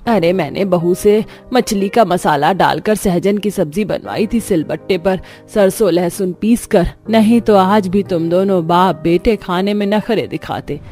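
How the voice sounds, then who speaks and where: A woman's voice: fairly low pitch, unhurried, few pauses, warm tone, soft background music. Bossy, nagging grandmother acted by a woman. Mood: practical. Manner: nagging, practical. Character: bossy, scolding grandmother of a poor family. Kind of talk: Hindi cartoon story acting.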